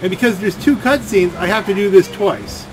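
A man giving rambling, complaining gameplay commentary, halting and repeating his words as he goes. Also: high-pitched. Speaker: gaming video creator. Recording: game and engine noise behind voice.

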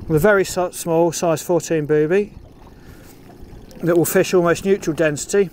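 Higher-pitched middle-aged man outdoors. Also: steady tone, moderate pace, a little mellow. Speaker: competition angler presenting a fishing film. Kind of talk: casual instructional commentary. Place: open boat on a lake, outdoors. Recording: outdoor water and boat noise.

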